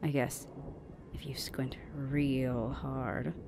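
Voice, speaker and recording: Woman acting a low, haughty older vampire sister. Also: little pitch movement, creaky, noisy background, full character voice. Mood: grudging. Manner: measured, exasperated, haughty, often half-whispered. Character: stern, weary, condescending older vampire sister. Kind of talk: ASMR roleplay acting.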